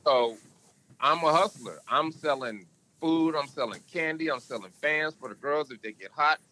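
Animated man telling stories, bright tone. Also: normal speed, poor audio. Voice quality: can go deep. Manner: animated storyteller, emphatic. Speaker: podcast guest. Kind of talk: casual interview talk.

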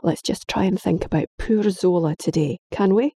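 A woman's voice: lowish voice, normal speed, mellow, husky, no room sound, clean studio sound. A woman voicing a stern, weary middle-aged father, lecturing patiently but firmly.

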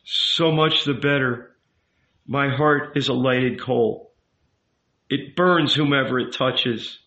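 A man voicing a tormented young man in an audiobook, bitter and ashamed, speaking with halting, brooding intensity. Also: mid-range voice, bright, forceful tone. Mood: defiant, burning. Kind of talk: dramatic audiobook character reading. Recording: roomy, echoing, slightly dull sound.

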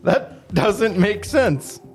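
Man playing an overdramatic, animated action hero. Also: high-pitched, extremely slow, soft background music, exaggerated voice. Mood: skeptical. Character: indignant, vengeful male action hero, Bruce. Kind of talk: amateur comedic dramatic script reading.

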